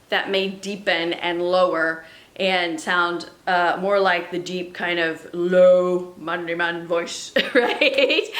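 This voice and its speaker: A woman's voice: medium pitch, pushed and bright, reverberant. A woman who sings soprano, teaching a music lesson to students at home and explaining patiently, with fillers and little laughs. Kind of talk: remote classroom lesson.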